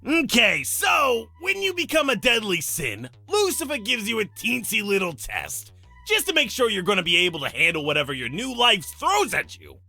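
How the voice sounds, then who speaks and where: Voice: mid-range voice, huge pitch swings, normal speed, bright, forceful tone, soft background music, heavily acted. An actor playing a flamboyant, teasing demon master of ceremonies in a visual novel, announcing with theatrical relish. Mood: sly briefing. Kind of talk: character acting in a visual novel.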